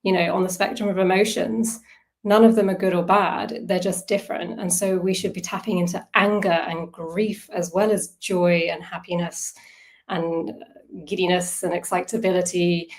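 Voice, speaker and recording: A woman's voice: on the low side, level tone, moderate pace. A woman facilitating an informal online wellbeing workshop, talking conversationally, thinking aloud and putting questions to her listeners. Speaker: workshop facilitator on self-soothing.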